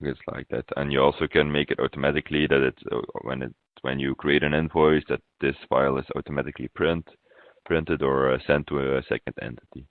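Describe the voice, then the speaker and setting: Phone-like audio, male software trainer, extremely low voice, halting step-by-step webinar walkthrough. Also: level tone, no room sound. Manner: halting, pausing mid-phrase. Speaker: software consultant presenting a webinar demo.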